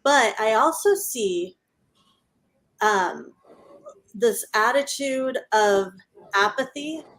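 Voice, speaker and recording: A woman in her thirties speaking as a guest in a casual panel discussion, halting and rephrasing as she goes. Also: on the high side, animated, fairly bright tone, poor audio.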